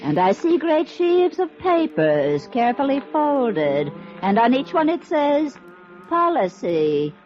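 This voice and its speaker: High-pitched, theatrical séance medium. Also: female voice, very animated, a little mellow, full character voice. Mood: trance-like, mysterious. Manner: grandiose, incantatory, drawn-out trance delivery. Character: theatrical middle-aged woman spirit medium holding a séance. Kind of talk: 1950s radio drama acting. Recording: dull vintage radio recording, faint music.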